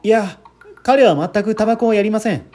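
A man's voice: high voice, a little fast, darkish tone, close to an everyday voice. A man reading the part of an earnest, anxious man who politely recounts a friend's death in a dramatic radio-story style. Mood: polite, guarded.

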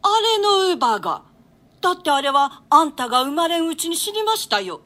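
Elderly royal grandmother played by a woman: forceful, bright tone, archaic theatrical diction, dignified and world-weary. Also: high voice, sing-song, a little fast. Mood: doting, curious. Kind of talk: classical stage play reading.

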